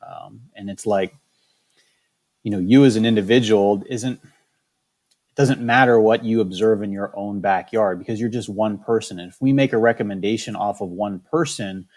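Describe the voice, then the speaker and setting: A man's voice: fairly low pitch, moderate pace, a little mellow. A man speaking as a state wildlife biologist in a candid interview, choosing his words carefully with frequent restarts. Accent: Michigan.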